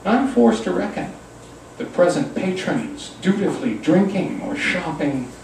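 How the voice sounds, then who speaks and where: Male poet at a live reading: slow, animated, theatrical verse delivery, recorded in a very reverberant room. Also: sits high, gravelly. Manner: theatrical, deliberate verse delivery with wry asides. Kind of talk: dramatic live poetry reading. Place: pub reading room, indoors. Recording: echoey room, distant mic, faint noise.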